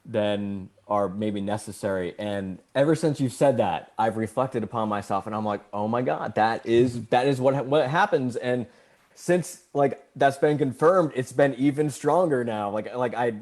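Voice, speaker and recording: A man co-hosting a podcast, talking earnestly in a casual spiritual chat, rambling a little and getting animated as he tells stories. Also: mid-range voice, normal speed. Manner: earnest, rambling, gets animated when telling a story. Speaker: podcast co-host.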